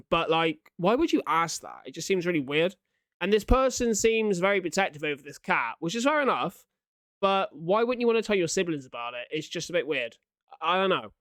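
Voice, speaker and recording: A man's voice: sits high, very animated, moderate pace, a bit bright, dry recording, studio quality. A man giving casual, questioning reaction commentary as a video host, in colloquial speech.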